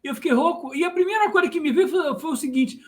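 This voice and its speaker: Brazilian-accented male podcast host with a very high voice, lively storyteller. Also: fast talker. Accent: Brazilian Portuguese. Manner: animated storyteller, asks himself rhetorical questions. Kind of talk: conversational podcast interview.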